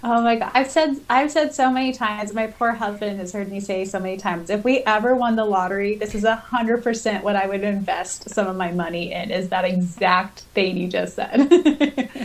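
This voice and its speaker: A woman's voice: medium pitch, normal speed. A giggly, enthusiastic woman co-hosting a podcast, chatting casually about fan topics and reader comments. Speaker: podcast co-host. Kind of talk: casual fan discussion, reading listener comments aloud.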